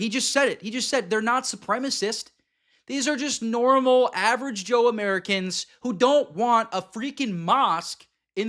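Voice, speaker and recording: A man with a notably high voice hosts a live reaction stream. He talks bluntly and emphatically, breaking off for quick asides to his viewers. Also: pushed and bright. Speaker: streamer commenting on a clip.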